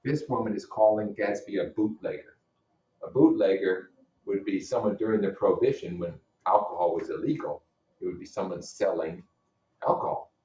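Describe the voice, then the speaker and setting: Man, low pitch, read-aloud lesson, explanatory manner, distant mic, echoey room. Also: moderate pace, darkish tone. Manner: explanatory, puts rhetorical questions to listeners. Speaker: teacher reading a novel aloud. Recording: roomy echo, distant microphone.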